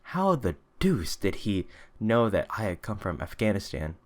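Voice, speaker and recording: A man's voice: on the low side, sing-song, normal speed. A man voicing a curious, polite former army doctor in a storybook, plain and mildly formal.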